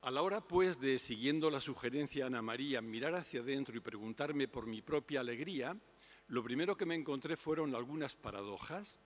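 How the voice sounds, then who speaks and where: An older man giving an unhurried, reflective public talk on spirituality. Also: mid-range voice, animated. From Spain. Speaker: invited speaker. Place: lecture hall. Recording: long hall reverb.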